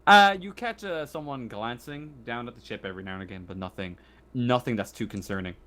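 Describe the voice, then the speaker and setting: A man improvising narration as game master of a tabletop roleplaying game, thinking aloud in a halting way. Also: mid-range voice, pushed and bright.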